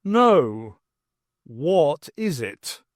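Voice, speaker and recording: A cold alien overlord, put on by a reader speaks very slowly and condescendingly, as if addressing an infant. The reading is dry and close, with lively pitch. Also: dry recording. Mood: coldly superior. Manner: slow, condescending. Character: cold, menacing alien leader.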